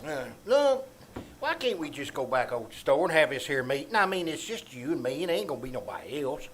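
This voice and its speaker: Male actor as fussy, childlike Southern storekeeper; high-pitched, folksy, stammering, old-time radio comedy with faint noise. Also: very animated, fairly quick. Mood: querulous. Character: childlike, fussy, easily distracted rural storekeeper. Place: small-town theater stage. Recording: live stage, faint background noise.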